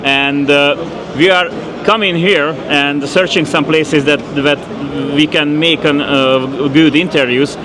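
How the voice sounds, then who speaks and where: A man with a Hungarian accent, hosting a casual interview in halting English with many fillers and restarts. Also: fairly high pitch, fairly even intonation, a crowd in the background. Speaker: podcast host.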